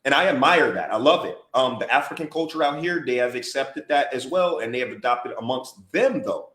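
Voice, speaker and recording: An emphatic American man talking through a casual podcast, pressing his points and circling back to them. His voice sits at a middle pitch. The recording has a noticeably roomy echo. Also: animated, fairly quick, a bit bright. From United States. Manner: emphatic, conversational, repeats for emphasis. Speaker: podcast co-host and DJ. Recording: roomy echoey podcast audio.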